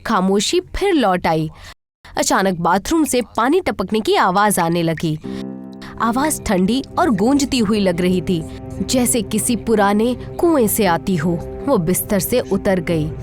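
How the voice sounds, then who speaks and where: A woman's voice: medium pitch, lively intonation, slow, music in the background. A woman telling a scripted horror story as narrator, in a suspenseful, theatrical manner.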